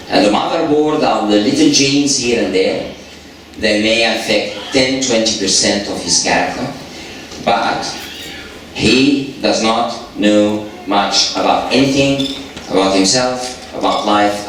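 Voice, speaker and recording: Man, Arabic accent, unhurried church lecturer, old distant hall recording with a hiss behind. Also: mid-range voice, fairly bright tone. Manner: slow, repetitive, asks the audience questions. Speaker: lecturer on parenting. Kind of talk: church talk to an audience.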